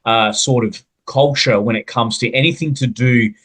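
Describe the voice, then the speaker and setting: A man's voice: medium pitch, normal speed, a bit bright, husky. A man with an Australian accent hosting a podcast interview, speaking in an earnest, wordy way. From South Australia. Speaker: podcast host.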